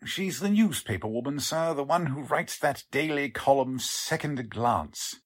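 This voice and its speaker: A man's voice: mid-range voice, lively intonation, unhurried. A man playing an obedient, polite chief clerk in 1940s radio style, timidly insistent. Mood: hesitant, insistent.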